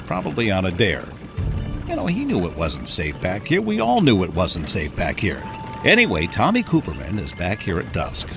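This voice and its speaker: A man playing an uneasy farm-raised man in an audio drama, with folksy, suspenseful delivery. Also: fairly low pitch. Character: uneasy farm-raised man telling spooky childhood tales.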